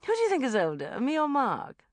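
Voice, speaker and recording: A woman's voice: high-pitched, huge pitch swings, fairly quick, no room sound, voice pushed up. A woman voicing a gushing, maniacal grown woman who trills and gabbles playfully at a child, as an audiobook character.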